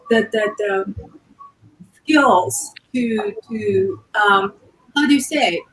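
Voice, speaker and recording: A woman's voice: fairly high pitch, poor audio. Woman translator. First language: Japanese. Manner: careful, searching for words. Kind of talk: casual panel talk. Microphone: video call.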